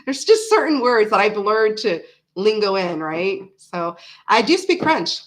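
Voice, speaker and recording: A woman's voice: medium pitch, very animated, a little slow. Chatty woman with American-Canadian accent. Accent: mixed American and Canadian. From Canada, raised in South Florida. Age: 40s. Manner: rambling, chatty, many fillers and restarts. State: tired. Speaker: livestream host. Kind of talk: casual chat reading live comments.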